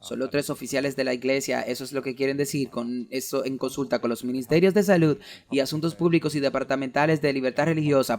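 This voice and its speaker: A man giving a sermon-style lecture in an earnest, argumentative way, reading out quoted documents as he makes his case. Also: medium pitch. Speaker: preacher giving a talk.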